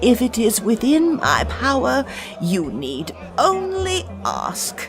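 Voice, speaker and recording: Cunning old crone, courtly, extremely unhurried, hugely varied pitch, audio drama dealmaker. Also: female voice, huge pitch swings, over music, heavily acted. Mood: sly, measured. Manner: slow, deliberate, formal.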